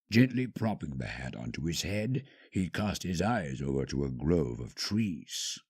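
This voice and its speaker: A man narrating a scripted story dramatically, with measured, hushed phrasing and pauses. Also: very deep voice, creaky, slightly rough.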